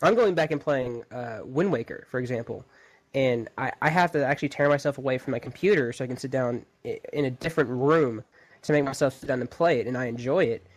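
A young man chatting on a podcast in a plain mid-pitched voice. He sounds easygoing, and his thoughts run on loosely from one to the next. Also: brisk. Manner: easygoing, loosely strung sentences. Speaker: podcast co-host. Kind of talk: casual chat.

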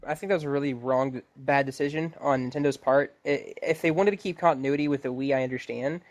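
A man's voice: mid-range voice, steady tone, fast. A man co-hosting a podcast in a casual gaming discussion, stammering and often restarting his sentences. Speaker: podcast co-host. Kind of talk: casual video game discussion.

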